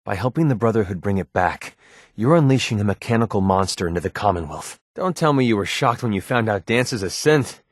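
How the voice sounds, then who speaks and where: An actor playing a cynical, wisecracking young mercenary for a video game, with an American accent and a streetwise, informal delivery. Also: male voice, lowish voice, dry recording, clean studio sound. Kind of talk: video game companion dialogue.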